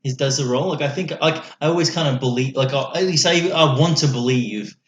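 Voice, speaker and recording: A man's voice: medium pitch, a little fast. A man co-hosting a podcast, talking casually about films. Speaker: podcast co-host. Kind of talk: casual film discussion. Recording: echoey room, audible background noise.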